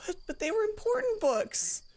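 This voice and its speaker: A woman's voice: very high voice, big pitch swings, normal speed, darkish tone, pitched above the performer's own voice. A woman voicing an earnest, emotional schoolgirl from a visual novel, secretive and guilt-ridden, with a light pleading delivery. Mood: defensive.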